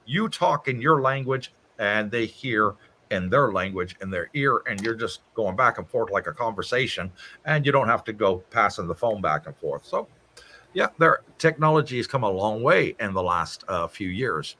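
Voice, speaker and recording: A man's voice: fairly low pitch, animated, normal speed, pushed and bright. A man hosting a casual livestream from his home, reading out viewer comments and answering them in a chatty way. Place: home in Thailand.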